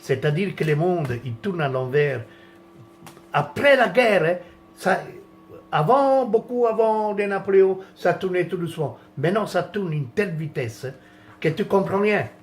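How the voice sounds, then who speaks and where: A man's voice: high-pitched, lively intonation, normal speed, faint music behind. A man from France in a rambling, indignant rant, with many restarts and trailing phrases.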